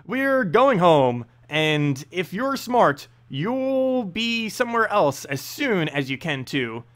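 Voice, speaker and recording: Gruff older knight general, acted by a male reader, curt and sardonic, animated pitch swings, fantasy storybook. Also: takes it slowly, heavily acted. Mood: sarcastic, tired.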